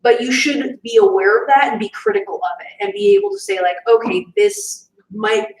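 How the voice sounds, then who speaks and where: A woman's voice: sits high, big pitch swings, normal speed, gravelly, darkish tone, reverberant, distant mic, rough recording. A woman co-hosting a radio chat show, talking thoughtfully and critically with lots of fillers. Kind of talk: casual chat.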